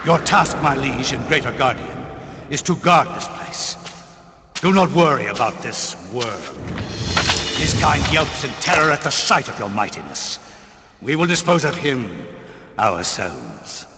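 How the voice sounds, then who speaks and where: A servile, scheming game minion. The pitch sits in the middle but swoops theatrically between flattery and scorn. Long reverb and loud noise surround the voice. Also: male voice, a little slow. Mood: fawning scorn. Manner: obsequious, theatrical. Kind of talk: scripted video game cutscene acting. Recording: long reverb, very noisy rumbling audio.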